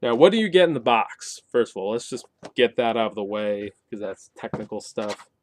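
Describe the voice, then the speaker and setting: A man's voice: fairly low pitch, lively intonation, moderate pace, darkish tone, a little hiss or hum. A man giving a casual, conversational game review, opinionated and given to wry asides. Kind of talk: casual video review to camera.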